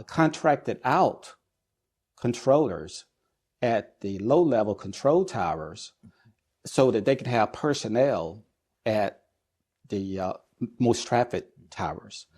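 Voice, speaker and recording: A middle-aged man answers as the specialist guest in an interview. His voice sits at an average pitch for a man. He lays out explanations in measured, deliberate phrases, often pausing before he goes on. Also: animated, a little mellow. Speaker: guest expert, air traffic controller and business executive.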